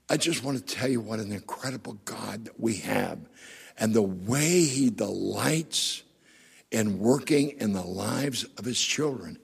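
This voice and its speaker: A middle-aged male pastor with a gravelly, raspy voice, preaching emphatically and asking the congregation rhetorical questions. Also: mid-range voice. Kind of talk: sermon. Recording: long hall reverb.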